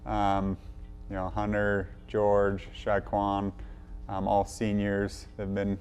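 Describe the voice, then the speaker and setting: A man's voice: lowish voice, completely flat delivery, slow pace, darkish tone, clear, resonant tone, soft background music. A man who coaches college runners, talking casually in an interview. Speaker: college cross country coach.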